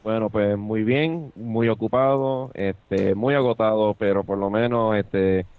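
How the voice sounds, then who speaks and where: A man's voice: fairly low pitch, steady tone, unhurried, smooth, narrow, tinny audio. A Puerto Rican man co-hosting a podcast, chatting casually with many fillers and restarts. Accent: Puerto Rican Spanish. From Puerto Rico. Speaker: podcast co-host. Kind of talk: casual chat. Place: Buenos Aires, Argentina.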